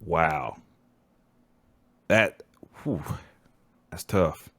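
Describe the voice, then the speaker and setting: Man, forties, low voice, dry close sound, casual podcast co-host, slangy laid-back phrasing. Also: animated, extremely slow, a bit bright, slightly rough, dry recording. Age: 40s. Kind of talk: casual chat.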